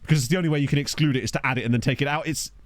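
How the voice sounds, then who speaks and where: A man's voice: medium pitch, very fast, no room sound. A man giving casual, rambling commentary as he streams a football management game, laughing and reacting aloud to the players he finds. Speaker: gaming streamer. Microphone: desk microphone.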